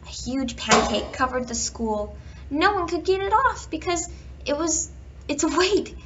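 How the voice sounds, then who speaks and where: A woman reading a children's picture book aloud, with expressive emphasis and drawn-out words in a storytelling manner. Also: sits high. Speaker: children's bedtime story reader. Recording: dull, distant home recording with background noise.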